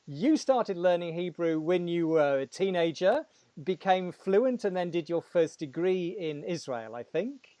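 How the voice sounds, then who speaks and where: A man's voice: high voice, lively intonation, a little slow, warm tone, no room sound. A man hosting an interview, asking long, articulate, winding questions. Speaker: podcast host. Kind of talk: interviewing a guest.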